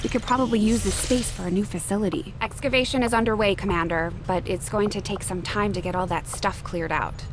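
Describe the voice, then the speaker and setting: A woman's voice: medium pitch, steady tone, a little fast, hardly any pauses, close to an everyday voice. A woman playing a practical chief engineer in a video game, matter-of-fact and informal. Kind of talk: scripted video game acting. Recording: music behind the voice.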